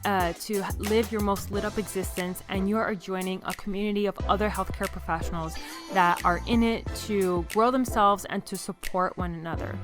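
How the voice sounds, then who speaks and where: A woman's voice: medium pitch, fairly even intonation, moderate pace, over music. A woman hosting a podcast, reading guest intros and show promos in an upbeat, enthusiastic way. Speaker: podcast host.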